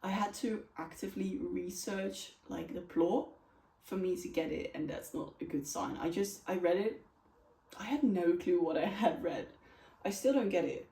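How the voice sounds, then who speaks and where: A woman's voice: fairly low pitch, moderate pace, gravelly, mellow. A woman who speaks English as a second language, chatting casually and candidly to camera as she reviews books. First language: not English. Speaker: book reviewer and vlogger. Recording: roomy echo, distant from mic.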